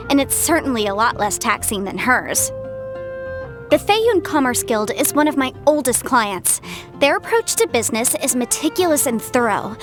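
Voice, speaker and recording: A woman voicing a confident, chatty young female legal adviser in a video game, articulate and slightly bookish. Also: high voice. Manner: articulate, expressive, self-assured, slightly bookish. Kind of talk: scripted game character voice lines.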